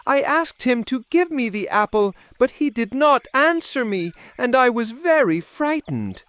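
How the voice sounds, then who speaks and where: Guy doing a scared little fairytale girl, high voice, childlike and plaintive. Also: very animated, measured pace, fairly bright tone, clear, resonant tone, dry recording, exaggerated voice. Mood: frightened, distressed. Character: frightened innocent little girl, daughter Marlene.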